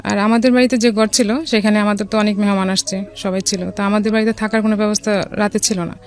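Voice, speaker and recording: A woman from Bangladesh with a Bangladeshi accent, telling a personal story as a radio guest in a casual, somewhat halting way. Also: mid-range voice, fairly even intonation. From Dhaka, Bangladesh. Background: music.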